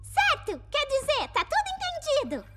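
Squeaky excitable little girl motorcycle. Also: normal speed, exaggerated voice. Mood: flustered. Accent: Brazilian. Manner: childlike, exclamatory. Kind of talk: children's cartoon dub. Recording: background music.

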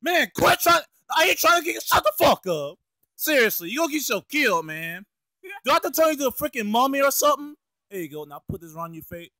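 High-pitched, exasperated streetwise cartoon mouse by a man. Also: sing-song, fast, pushed and bright, no echo, exaggerated voice. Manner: slangy, cursing, scolding. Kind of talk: amateur comedic cartoon fandub.

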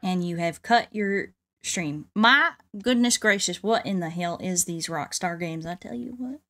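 A woman's voice: lowish voice, measured pace, a bit bright. A woman with a Southern US drawl, chatting casually in folksy cowboy talk as she hosts a game livestream. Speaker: livestreaming VTuber host playing a video game.

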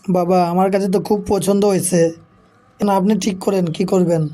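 A man's voice: high voice, flat delivery, brisk, dark tone, close to an everyday voice. A man voicing a frustrated young bachelor son in a comic cartoon, whining and plaintive. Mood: baffled, aggrieved.

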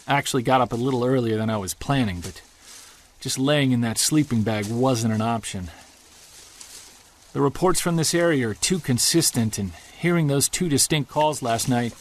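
An actor playing a Bigfoot hunter on an expedition, talking low and excited into his field recorder, with faint outdoor sound behind him. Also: natural voice. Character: obsessed male Bigfoot hunter alone in woods. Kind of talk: audio drama field-recorder monologue. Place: woods at night and day.

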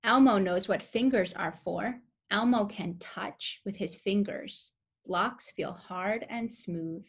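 A young woman reading a picture book aloud to toddlers as a preschool teacher, with simple, expressive phrasing and questions for the listeners. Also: mid-range voice, slow pace, slightly rough. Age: young adult. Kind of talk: children's story read-aloud.

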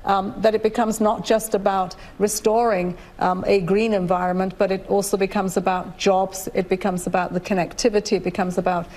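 A middle-aged woman from Nigeria speaks in a live television interview. She is earnest and measured, pausing with fillers and restarting her sentences. Her voice sits in an ordinary middle range. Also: medium pitch, level tone, a little mellow. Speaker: guest, UN official. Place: studio, indoors.

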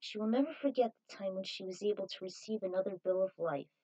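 Female amateur author-narrator, medium pitch, reading scripted fiction aloud. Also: fast talker, warm tone, dull audio.

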